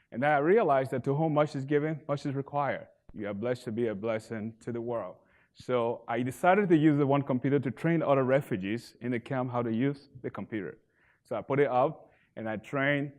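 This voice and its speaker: A man in his thirties from Liberia with a Liberian accent, giving an earnest stage pitch for his nonprofit that builds to emphatic bursts. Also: darkish tone. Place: event stage.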